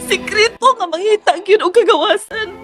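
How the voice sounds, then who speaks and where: Tearful young woman, very high voice, pleading, radio drama, music underneath. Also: moderate pace, fairly bright tone. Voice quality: high-pitched. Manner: emotional, pleading. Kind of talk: radio drama acting in Cebuano.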